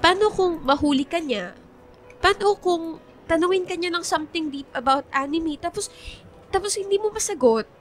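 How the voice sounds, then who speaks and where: An exasperated young female best friend in a radio drama. Her voice is high and very animated, earnest and scolding but caring. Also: normal speed, a little hiss or hum.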